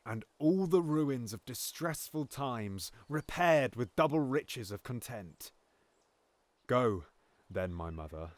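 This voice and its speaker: Brief: a man as a scheming usurper king, slow and deliberate, measured stage delivery, dry close sound. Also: mid-range voice, dry recording. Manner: pleading then bitterly contemptuous, with sudden hushed whispers. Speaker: actor in audio drama. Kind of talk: Shakespearean audio drama acting.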